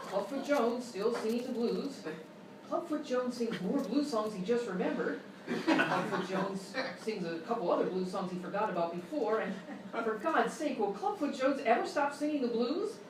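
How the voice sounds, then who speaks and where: An actress playing a polite, earnest public-radio talk show host, formal and deadpan, in a parody interview. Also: female voice, on the high side, close to an everyday voice. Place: live show in a hotel room. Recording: echoey live stage, distant mic, noisy.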